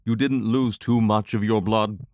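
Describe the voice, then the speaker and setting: A man playing a steady, practical, protective adventuring companion in a fantasy audio drama. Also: on the low side, a little slow, no room sound, studio quality, lightly acted. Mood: concerned.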